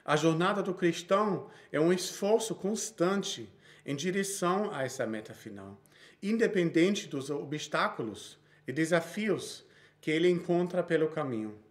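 A man's voice: on the high side, lively intonation, a little slow. A man in his thirties with a Brazilian Portuguese accent, preaching a sermon he reads from notes, with frequent small restarts.

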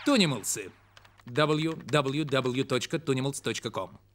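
A man's voice: mid-range voice, unhurried, music in the background, lightly acted. A man reading scripted credits as the announcer of a cartoon.

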